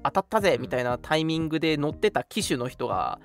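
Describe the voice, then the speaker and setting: A young man co-hosting a radio show, casually recounting things in an animated way and acting out reactions. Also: on the high side, quick pace, soft background music. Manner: animated, acts out reactions while telling stories. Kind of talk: casual chat, recounting an outing.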